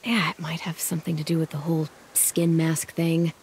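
A woman's voice: sits low, level tone, normal speed, gravelly, darkish tone, a little hiss or hum. A woman voicing a tough, sardonic teenage American girl in a zombie survival video game, blunt and dry.

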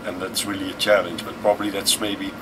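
Low, even-voiced Dutch man in a bus. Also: fast talker. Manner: relaxed and thoughtful, frequent fillers and restarts. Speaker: musician guest. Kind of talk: casual interview answers. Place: inside a tour bus. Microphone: camera close in front. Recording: distant mic with vehicle noise. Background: air conditioning and vehicle hum.

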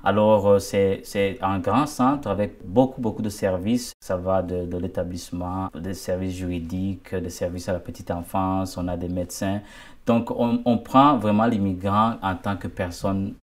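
A man representing a community centre, explaining its services in an emphatic, energetic way. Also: deep voice, moderate pace. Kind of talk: interview explanation.

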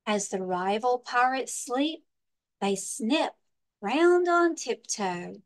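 A woman librarian reading a children's storybook aloud with expressive, deliberate, emphatic narration. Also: a little high.

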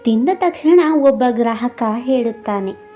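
A woman's voice: a little high, animated, unhurried, warm tone, soft background music. A woman narrating a scripted moral story.

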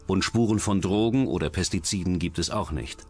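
A man's voice: deep voice, brisk, slightly rough. A man reading scripted true-crime documentary narration as the narrator. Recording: music under the voice. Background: music.

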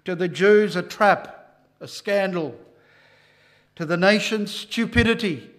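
Older man preaching emphatically, high voice. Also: slow. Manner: emphatic preaching, rising loud on key phrases. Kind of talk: sermon. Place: church hall, at a lectern. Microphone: lectern microphone.

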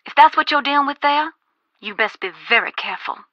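Bright, folksy Southern woman. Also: sits high, expressive, fairly quick, dry recording, lo-fi sound. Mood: grave warning. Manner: folksy, drawling, cautionary. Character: folksy, cautious Southern woman ex-ghost hunter. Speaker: game character: former ghost hunter. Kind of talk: video game dialogue, conversational phone-call acting.

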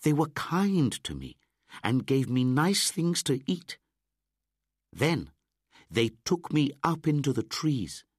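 Storybook boy, bratty and high-pitched; a male narrator doing the voice. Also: very animated, slow pace, husky, dry recording, studio quality, heavily acted. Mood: cross. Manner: raised, bright storybook child voice. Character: young boy raised by wolves, cheeky and cross.

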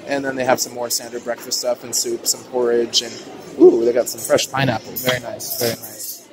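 A man's voice: mid-range voice, level tone, brisk, music in the background. A young man walking a buffet with a handheld phone camera, chatting casually and upbeat with many "ooh"s and "uh"s. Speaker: vlogger. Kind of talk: casual walkthrough narration. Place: large indoor buffet restaurant. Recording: noisy restaurant phone video. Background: diner chatter and clatter.

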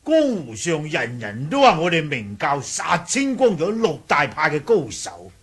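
A brash, mocking eccentric from an old Cantonese martial-arts radio drama. His Hong Kong voice is gravelly and rather high, stretching phrases out with lively, teasing swings. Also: full character voice. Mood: scornful. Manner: blunt, teasing, theatrical, drawn-out phrases. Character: brash, sarcastic, laughing eccentric martial-sect man.

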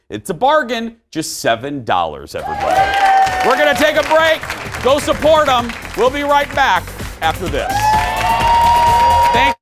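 Animated man hosting a TV talk show. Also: a little high. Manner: animated, often jumps to shouting. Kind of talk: daytime TV talk show chat. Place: bright TV studio set. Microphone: lapel mic.

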